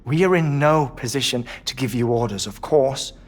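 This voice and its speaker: A man playing a grave, deliberate scientist in a video game cutscene, speaking formally and with measured phrasing. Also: mid-range voice, lightly acted. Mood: grave, urging. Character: grave, deliberate male scientist advising the hero.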